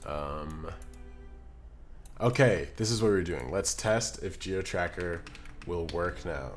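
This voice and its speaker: A man's voice: sits low, slow, faint music behind. A young man from Scotland chatting casually on a live stream, muttering to himself as he works at his computer. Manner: relaxed, muttering to himself while working, reading chat aloud. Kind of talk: casual live-stream chat while editing. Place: home streaming room. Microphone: desk microphone.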